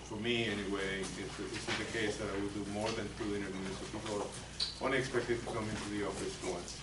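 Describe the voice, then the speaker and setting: An older man with a Spanish accent gives a soft-spoken, hesitant lecture with plenty of ums and uhs. His voice sits fairly low. He is heard from across an echoey, noisy meeting room. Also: level tone, brisk, recorded from a distance. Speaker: psychologist presenting to lawyers. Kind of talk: continuing-education lecture.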